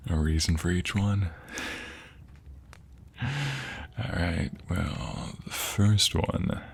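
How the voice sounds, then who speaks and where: A man playing a doting, tender husband in an intimate ASMR roleplay, speaking softly and often slipping into breathy whispers. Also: deep voice, lively intonation, extremely slow, a little hiss or hum, exaggerated voice. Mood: bashful, tender. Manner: soft, breathy, lingering delivery dropping into whispers. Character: doting, tender husband comforting his sweetheart.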